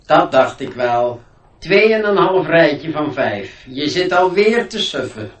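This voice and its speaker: Strict radio-play schoolmaster, pompous and sarcastic, high voice, slow delivery, echoing room. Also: male voice, very animated, distant mic. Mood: irritated, strict. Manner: pompous, scolding, deliberate. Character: stern, pompous male schoolmaster, scolding and sarcastic. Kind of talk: radio drama acting. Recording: roomy, set back from mic.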